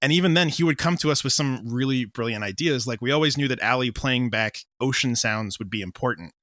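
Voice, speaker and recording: A man's voice: mid-range voice, brisk, fairly bright tone, no room sound, studio quality. A man chatting casually as an interview guest, explaining his creative work.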